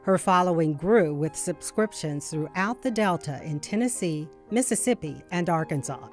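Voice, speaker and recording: Woman narrator, lowish pitch, scripted documentary read, measured, careful diction, music bed. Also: lively intonation.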